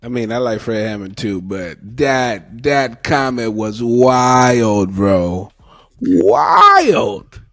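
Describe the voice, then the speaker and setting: A man's voice: mid-range voice, very animated, drawn-out words, sharp tone, dry recording, soft background music. A man co-hosting a reaction talk show, giving earnest advice and trading playful banter. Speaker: podcast co-host. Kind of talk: casual reaction chat.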